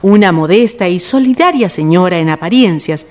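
Lively woman narrator. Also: on the low side, moderate pace. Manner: theatrical storytelling. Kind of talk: scripted radio play narration.

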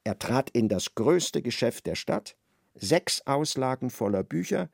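A male narrator reads literary prose with a lively, rising and falling delivery and a gravelly edge to his voice. The recording is close and dry, with no room sound. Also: medium pitch, measured pace, no room sound. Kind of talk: scripted literary narration for radio drama.

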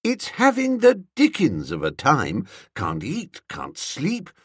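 A man playing an earnest, foppish young upper-class British gentleman in a comic audiobook, slangy, emphatic and hesitant. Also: a little high, wildly swooping pitch, slow pace, slightly rough, no room sound, studio quality, voice pushed up, exaggerated voice. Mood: earnest, awkward.

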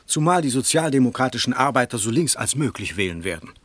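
A man's voice: mid-range voice, sing-song, fast, no room sound. A man playing a stingy, harried political candidate in a German radio play, curt and grumbling. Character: stingy, harried male candidate haggling with backers.

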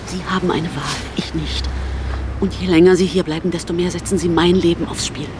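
An actress playing a guarded, frightened woman in a noir radio play, speaking in a hushed, terse and evasive way. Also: on the low side, fairly even intonation, fairly quick, noisy background, natural voice. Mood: urgent, pleading. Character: guarded, frightened woman, former lover of the investigator. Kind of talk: noir radio drama acting. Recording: studio radio play with music underneath.

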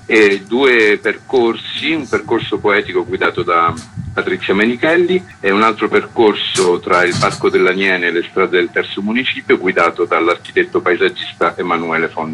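A man's voice: sits low, bright, forceful tone, rough recording. A man who organizes a theatre festival, steadily listing program details in a radio interview.

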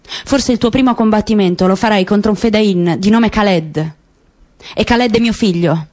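A woman voicing a calm, ironic middle-aged man in a radio drama reading, speaking quietly and with measure. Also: mid-range voice, normal speed, lightly acted. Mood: measured.

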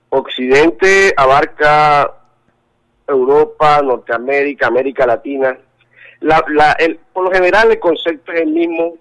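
A man's voice: a little high, a bit bright. A Colombian man interviewed by phone, speaking earnestly, often hesitating and repeating words as he searches for them. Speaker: interview guest. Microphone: telephone. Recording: tinny phone line.

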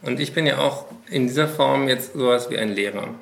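Gravelly coach guy explaining stuff, asks questions, echoey room. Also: medium pitch, a little fast, a bit bright, a little hiss or hum. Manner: instructive, questioning. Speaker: happiness coach. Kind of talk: coaching conversation.

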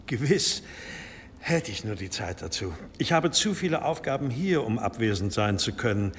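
A courteous abbot in a radio drama, formal and gently insistent. His voice is mid-pitched yet very varied in melody, ringing in a long reverb with noise around it. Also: sing-song, moderate pace, gravelly. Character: courteous, unctuous monastery abbot with quiet authority. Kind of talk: German radio drama acting.

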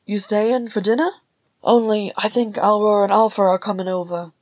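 A woman acts out a cheerful dwarf husband in a fantasy storybook. He is homely and genial, calling out in a raised, slightly husky voice. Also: medium pitch, fairly even intonation, moderate pace, darkish tone, no room sound, pitched above the performer's own voice, close to an everyday voice.

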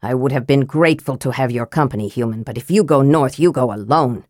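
A woman voicing a male knight possessed into a haughty dwarf prince, stern, impatient and commanding in a lowered voice. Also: a little fast, a little mellow, dry recording, studio quality.

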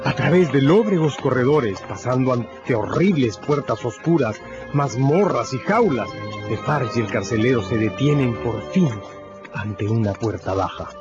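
Male narrator for radio novel: medium pitch, solemn, emphatic, dramatic, slightly muffled sound with loud score. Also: lively intonation, unhurried. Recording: dull lo-fi radio production, loud music.

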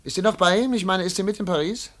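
An actor playing a brisk, self-assured middle-aged American lawyer who narrates and talks in a German radio play, with a theatrical, pondering manner. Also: male voice, high voice, a bit bright, dry recording. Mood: curious, reminiscing. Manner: theatrical, pondering aloud, repeating words for emphasis. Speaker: narrator and lead character.

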